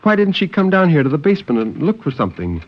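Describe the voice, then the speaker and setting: A man's voice: a little high, very animated. Intense male narrator, wide-swinging delivery, old radio. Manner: dramatic, deliberate, intense. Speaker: story narrator. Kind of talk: 1950s radio dramatic reading of suspense fiction. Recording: muffled, noisy 1950s radio recording.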